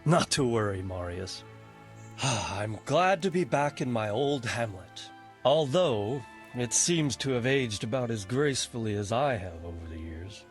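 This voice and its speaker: An actor playing an ageing medieval nobleman in a video game, practical, wry and quietly commanding. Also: medium pitch, animated, soft background music, natural voice. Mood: wry, warm. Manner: measured, authoritative, wry. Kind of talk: video game cutscene acting.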